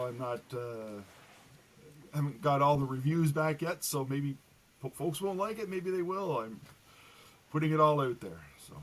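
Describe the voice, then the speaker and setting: A man speaking casually as a guest novelist in a podcast interview, explaining things in a halting, step-by-step way. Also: medium pitch, rough recording.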